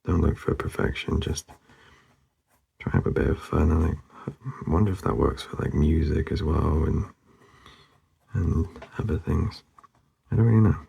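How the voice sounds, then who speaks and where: A man narrating casually as he draws, laid-back and murmuring, with a husky, gravelly voice that often trails off. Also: very deep voice, normal speed, warm tone. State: losing his voice, hoarse. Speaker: artist hosting a drawing channel. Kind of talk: casual narration while drawing.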